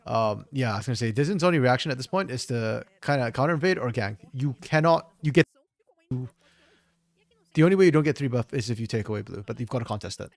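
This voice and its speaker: A man talks through a match replay on his own, his voice a bit hoarse and gravelly. He thinks aloud, often restarting mid-sentence, in a relaxed commentary style. The sound is dry and close, with no room around it. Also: medium pitch, quick pace, dry recording. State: slightly hoarse. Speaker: esports caster doing a solo VOD review. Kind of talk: casual solo game analysis commentary.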